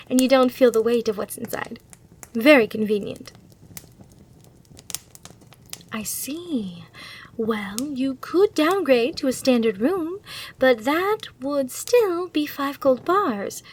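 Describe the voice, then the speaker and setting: A sly demon hotel clerk played by a woman speaks in a high female voice for an ASMR roleplay. She is courteous and soothingly salesy, as if serving a guest at the front desk. A faint hiss sits behind her. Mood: apologetic, patient. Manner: courteous, reassuring customer-service patter.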